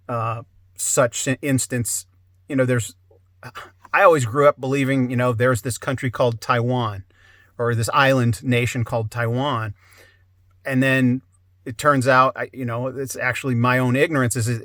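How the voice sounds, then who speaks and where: Male podcast host, halting and conversational, mid-range voice, close dry recording with almost no room sound. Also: mid-range voice, a bit bright, dry recording. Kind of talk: conversational interview.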